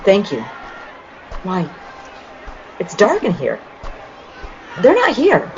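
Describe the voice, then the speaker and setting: A woman's voice: mid-range voice, huge pitch swings, slow pace, darkish tone, a faint crowd, full character voice. A woman playing a trusting, easily startled young sweetheart heroine in an old-time radio play. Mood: uneasy. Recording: muffled, lo-fi audio with noise.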